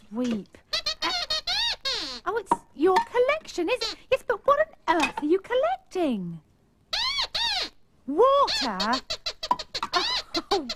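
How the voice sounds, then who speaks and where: Super high chirpy girl puppet, prim and teasing, loads of tape hiss. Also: full character voice. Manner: prim, teasing, quick scolding. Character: chirpy fussy female puppet. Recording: loud hiss of an old TV tape.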